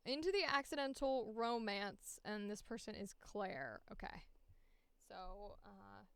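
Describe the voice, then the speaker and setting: Woman, lively swooping intonation, close dry sound, casual stream chat, playful, thinking out loud. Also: mid-range voice, unhurried, creaky, smooth, no echo. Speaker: voice actor recording auditions. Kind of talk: casual live-stream chat while working.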